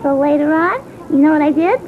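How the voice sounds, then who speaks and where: A woman's voice: fairly quick, close to an everyday voice. A woman playing a sulky, aggrieved young schoolgirl who rambles in a childlike, indignant monologue. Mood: tearful, spiteful. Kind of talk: character monologue. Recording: tinny phone-like band, faint rumbling noise.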